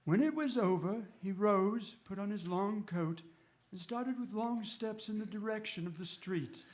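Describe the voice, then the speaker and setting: An actor playing a gentleman doctor who fondly recounts his friend's adventures as narration in a radio drama. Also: sits high, measured pace, background noise. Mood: fond reminiscence. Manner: reminiscent, storytelling. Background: violin music.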